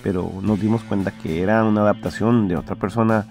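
A man hosting a podcast, chatting casually to listeners in a halting, repetitive way. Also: fairly low pitch, fairly even intonation, a little mellow, soft background music. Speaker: podcast host.

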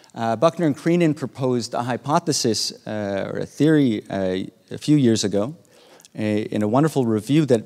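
A man's voice: medium pitch, darkish tone. Man lecturing in a reverberant hall. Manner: measured, with frequent "uh" pauses while explaining slides. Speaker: keynote speaker. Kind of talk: academic conference talk.

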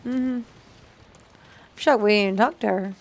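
A woman's voice: medium pitch, unhurried. A young adult woman giving casual reaction commentary as co-host on a video game's scenes. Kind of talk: casual reaction commentary over game cutscenes.

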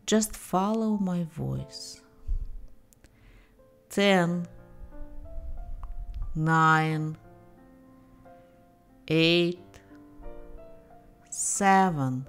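A woman leads a guided meditation in a fairly low voice. She speaks very slowly and gently, placing deliberate pauses between calm instructions. Soft music plays faintly behind her. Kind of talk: guided meditation, soothing scripted narration.

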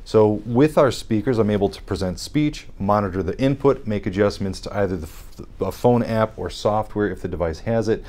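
A man in his thirties speaks in a somewhat low voice, walking through technical procedures step by step. His delivery is measured and explanatory, with occasional restarts. He sits on a studio set, picked up by an off-camera mic. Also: a little mellow. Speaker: hearing scientist guest. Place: dark studio set with armchairs.

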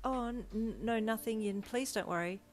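A woman's voice: lowish voice, brisk, a little mellow, close to an everyday voice. A woman voicing an earnest, cheerful young male hero with an eager, easily flustered manner in a story. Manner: eager, stammering when flustered.

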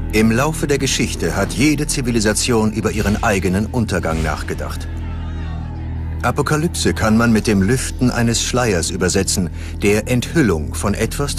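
A man narrates a documentary from a script. His voice sits low, and music plays clearly behind him throughout.

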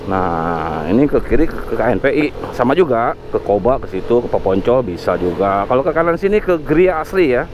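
A man's voice: medium pitch, big pitch swings, brisk, few pauses, lo-fi sound. A man hosting a motovlog, casually narrating the streets while riding a scooter, thinking aloud with fillers. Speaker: motovlog host. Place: outdoors on a motor scooter in town traffic. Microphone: helmet or body camera mic. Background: faint traffic and engine noise.

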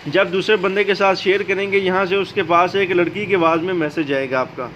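Male tutorial host, notably high voice, explaining step by step over slightly noisy audio. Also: fairly quick. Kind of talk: how-to video narration. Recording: dull lo-fi audio, steady background noise.

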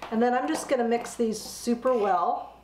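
A middle-aged woman hosting a home cooking channel, a bit hoarse from a cold, explaining a recipe step by step in a friendly, chatty way. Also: a little high, normal speed, a little mellow, roomy sound, slight background noise, low-quality recording. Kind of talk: casual cooking demonstration. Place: home kitchen, indoors.

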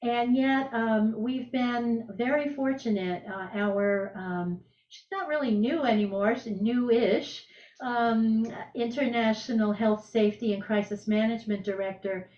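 A woman's voice: a little high, steady tone, measured pace. A woman in her sixties talking casually from her home kitchen on a video call, thoughtful and hesitant with many fillers. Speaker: program leader and cooking host. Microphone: video call laptop mic. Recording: roomy, dull video call audio.

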